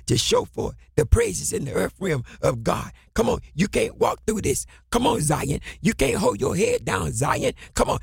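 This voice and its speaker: A man preaching a fervent sermon in a raspy, gravelly voice, exhorting with impassioned, repeated call-and-response phrases that swing into shouts. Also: high-pitched, dry recording, studio quality.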